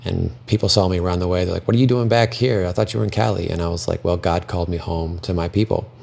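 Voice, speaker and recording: A man's voice: deep voice, fairly even intonation, quick pace, creaky, a little mellow. A young man raised in Belgium with French as his first language, earnestly telling his own life story. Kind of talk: personal testimony.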